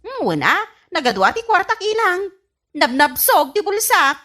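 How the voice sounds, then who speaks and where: A woman's voice: very high voice, very animated, a little slow, pushed and bright, full character voice. A woman playing a stubborn, boastful, spendthrift married daughter with an Ilocano Filipino accent in a comedy radio drama, brash and defiant.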